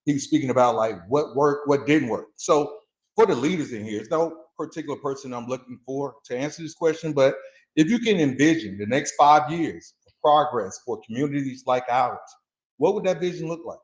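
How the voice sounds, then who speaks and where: A man's voice: medium pitch, expressive, a little fast. A man hosting a podcast, posing an informal question to a panel at a live event. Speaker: podcast host. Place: room at a live community event. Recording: echoey live room.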